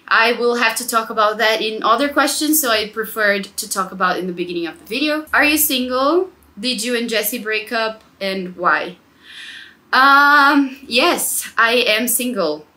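Casting: woman, twenties, Brazilian accent, bright crisp-edged voice, informal vlog chat, roomy indoor sound. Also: medium pitch. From Brazil, São Paulo. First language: Portuguese. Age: 20s. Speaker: travel vlogger. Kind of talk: casual chat to camera, answering questions. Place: small living room indoors. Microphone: clip-on lapel mic.

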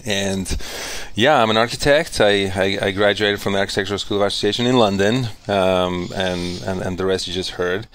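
A man's voice: low-pitched, moderate pace, few pauses, fairly bright tone, slight background noise. A man from Chilean Patagonia talking about his life as a guest architect, hesitant and full of fillers. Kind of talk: casual interview.

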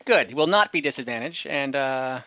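A young man as game master, narrating a tabletop game on a video call, relaxed, with frequent fillers. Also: medium pitch, normal speed, noisy background. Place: home room on a video call. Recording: dull lo-fi call audio.

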